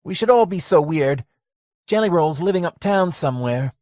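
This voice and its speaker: A man putting on a high folksy old-timer hangout owner. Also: lively intonation, moderate pace, darkish tone, dry recording, studio quality, lightly acted. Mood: wistful.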